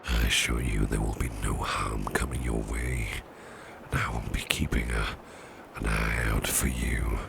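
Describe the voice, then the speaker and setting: Very deep, gravelly doting boss played by a man. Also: little pitch movement, noisy background, heavily acted. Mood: soothing, protective. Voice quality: breathy, half-whispered, very deep gravelly murmur. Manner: slow, soothing, repeats reassurances, genteel phrasing. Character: doting, reassuring businessman boss, soothing and flirtatious. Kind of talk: ASMR personal-attention roleplay.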